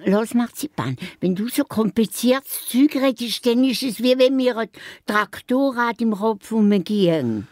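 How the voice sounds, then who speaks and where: Chatty little Swiss mouse, unhurried. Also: female voice, fairly high pitch, no room sound, studio quality. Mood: curious, cheeky. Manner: eager, questioning, stammering in excitement. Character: lively, naive, chatty little female magic mouse. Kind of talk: children's radio play acting.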